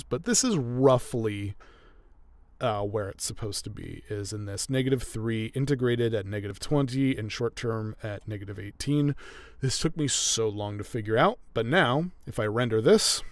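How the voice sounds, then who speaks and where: Relaxed chatty man, dry close sound. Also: medium pitch, no room sound. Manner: relaxed, chatty, laughs often, explains as he goes. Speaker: audiobook narrator and editor. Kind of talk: casual chat while editing.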